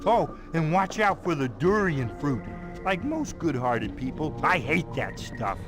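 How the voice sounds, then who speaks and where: An actor voicing a big, good-hearted, bumbling warthog in a video game, chatty and easily flustered. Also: male voice, a little high, big pitch swings, a little slow, over music. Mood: chatty, friendly. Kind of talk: animated video game voice acting.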